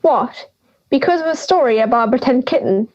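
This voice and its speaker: A woman's voice: high voice, animated, brisk, a little mellow, highs cut off. A young woman playing a feisty, blunt granny of ninety in an audio drama, scolding and sarcastic. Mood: incredulous. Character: feisty, blunt ninety-year-old granny living in the woods.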